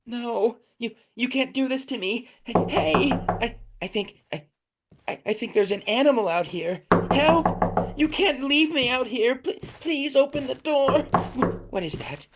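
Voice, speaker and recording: Bitter accuser for audio drama, woman playing: confrontational, slow and animated delivery, clearly noisy sound. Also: high voice. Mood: panicked. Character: bitter, hot-headed accuser who threatens to call police. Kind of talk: audio play acting.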